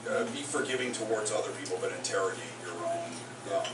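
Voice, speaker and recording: Low-voiced man rambling at a live show. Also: over music. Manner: rambling, halting, many fillers. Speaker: touring songwriter. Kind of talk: casual onstage chat between songs. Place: concert house, live in front of an audience. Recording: roomy live hall, distant, noisy.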